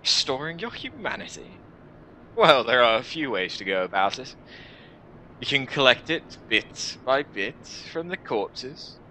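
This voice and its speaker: A man's voice: mid-range voice, pushed and bright, a little hiss or hum, poor audio. A man voicing a jaded, mocking young woman warrior in a visual novel, with sardonic, sighing delivery.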